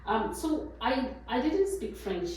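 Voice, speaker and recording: A woman's voice: a little high, big pitch swings, takes it slowly, darkish tone, slight background noise. Middle-aged woman, echoey room. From Zimbabwe. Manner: cheerful, laughs often. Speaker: immigration lawyer. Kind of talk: conversational storytelling. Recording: echoey room, far from mic.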